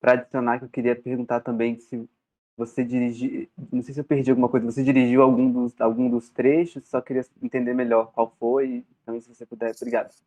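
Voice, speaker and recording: Monotone, mellow male panelist, muffled lo-fi sound. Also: medium pitch, fast talker. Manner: polite, tentative question. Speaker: panel participant.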